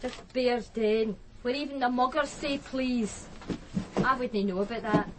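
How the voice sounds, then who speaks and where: A woman's voice: a little high, measured pace, noisy background, natural voice. An actress playing a naive, defensive young Glasgow woman in a naturalistic radio drama, speaking colloquially. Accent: Scottish, Glasgow.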